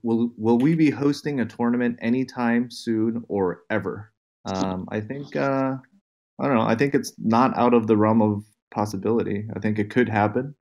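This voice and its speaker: A man's voice: mid-range voice, fairly even intonation, moderate pace, darkish tone. An easygoing man reading out questions and chatting casually as interviewer. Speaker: interviewer reading fan questions, team executive. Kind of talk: casual Q&A chat.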